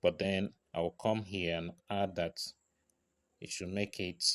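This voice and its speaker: Male tutorial presenter, low register, informal step-by-step narration, frequent little confirmation tags. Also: little pitch movement, slow pace. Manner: frequent check-in tags like right and okay.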